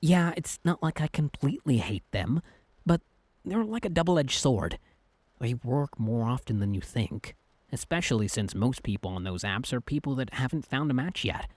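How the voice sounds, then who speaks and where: A young male Cupid, acted by a man, talks like an exasperated friend who is secretly smitten. His delivery is conversational and emphatic, with lively swings in pitch. It is a dry recording in the style of an ASMR boyfriend roleplay. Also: breathy edge, dry recording. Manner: conversational, exasperated, emphatic, hesitant when flustered.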